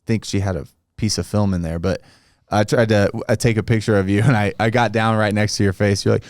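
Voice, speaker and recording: A young man with a lowish, smooth voice co-hosts a casual podcast. He teases his friend, swears freely and laughs a lot. The recording is close and dry. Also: steady tone, fairly quick, a little mellow, dry recording. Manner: teasing, profane, laughs a lot. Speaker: podcast co-host. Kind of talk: casual crude podcast banter.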